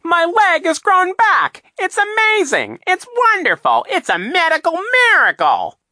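A shrill, gloating villain count, swinging wildly up and down in pitch. The voice is bright and sharp, recorded close and dry with no room around it. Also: male voice, huge pitch swings, measured pace, dry recording, full character voice. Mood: false joy. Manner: theatrical, whiny false joy then gloating growl. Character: scheming villainous male count, boastful.

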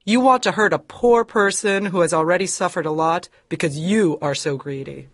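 A male audiobook narrator voicing a bitter old woman who defends her brother, in a husky voice. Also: a little high, slow, pitched above the performer's own voice. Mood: pleading, accusing. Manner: pleading, accusing. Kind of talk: audiobook character voice.

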